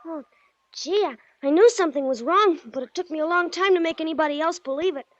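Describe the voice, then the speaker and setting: A performer playing an earnest, excitable young boy in a 1940s radio drama, eager and breathless, with an American accent. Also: very high voice, fast, dry recording. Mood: excited. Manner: breathless, gee-shucks eagerness. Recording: muffled old radio recording.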